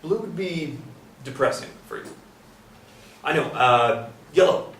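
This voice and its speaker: A man's voice: a little high, lively intonation, a little slow, fairly bright tone, far from the mic. Man playing frank, considerate carpenter, play reading, roomy sound, faint noise. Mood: gentle, awkward. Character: blunt but considerate male carpenter.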